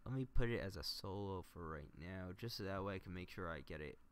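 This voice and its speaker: A man's voice: deep voice, lively intonation, fast, slight background noise. A man livestreaming a casual, unscripted tutorial, thinking aloud and muttering to himself as he works. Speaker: livestreamer demonstrating video editing. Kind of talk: casual unscripted tutorial commentary while working.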